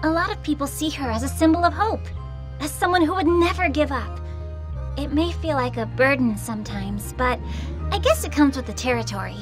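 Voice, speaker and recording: A teen superhero girl for a cartoon game, high voice, super lively, brave n earnest, music behind. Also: brisk. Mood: earnest, reflective. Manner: earnest, expressive. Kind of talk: animated video game voice acting.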